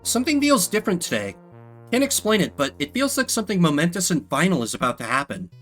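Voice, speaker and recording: Guy doing a high lilting game lady, gentle sad friend type, kinda melodramatic, music behind. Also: big pitch swings, fast, full character voice, low-quality recording. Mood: wistful, uneasy.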